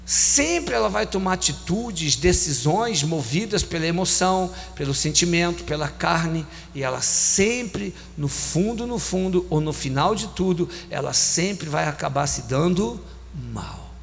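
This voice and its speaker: A man in his forties with a Brazilian Portuguese accent, preaching a sermon on a handheld mic, repeating phrases with emphasis and slowing down on key lines. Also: fairly high pitch, animated, vocal fry. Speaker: bishop preaching. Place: large church hall. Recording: long church hall reverb, faint hum.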